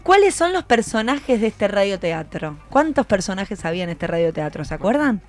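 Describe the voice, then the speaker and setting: A woman's voice: medium pitch, very animated, moderate pace, soft background music. A woman with an Argentine accent hosting a casual radio interview, encouraging people and prompting them with questions. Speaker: radio host interviewing students. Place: radio studio. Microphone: studio microphone.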